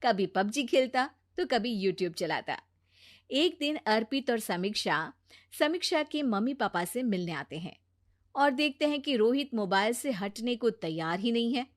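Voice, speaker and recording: A woman storyteller reads a scripted moral tale for children. Her voice is on the high side, and the recording is dry and free of echo. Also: expressive, unhurried.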